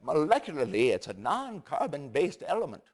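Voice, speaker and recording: An eccentric scientist on a comic in-game TV interview. His voice is a bit high and leaps around dramatically. He is excitable and muddled, with a halting, erratic, jargon-heavy delivery. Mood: rambling.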